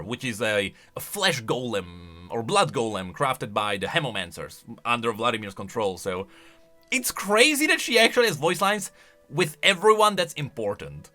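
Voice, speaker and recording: Very bright, excitable man doing reaction commentary. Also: mid-range voice. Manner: excitable, emphatic. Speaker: host, game lore commentator.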